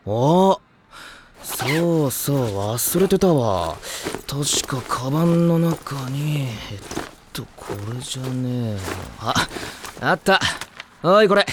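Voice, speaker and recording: A man's voice: mid-range voice, expressive, slow, noisy background. An actor playing a laid-back, casual teenage boy teammate in an anime drama CD. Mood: breezy. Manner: casual, breezy.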